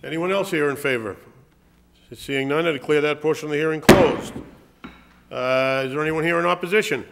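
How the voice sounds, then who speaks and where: A man's voice: on the high side, a little fast, gravelly, fairly bright tone, slight background noise. A middle-aged man speaking formally as a council president, running a public hearing by procedure. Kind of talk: formal procedural meeting talk. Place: large council chamber.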